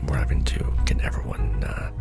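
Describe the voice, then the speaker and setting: A man performing an ASMR roleplay as a seasoned, wry secret-society official, talking softly and casually with a gravelly, breathy undertone. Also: over music. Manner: wry, casual, unhurried explaining. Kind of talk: ASMR roleplay monologue, soft conversational briefing.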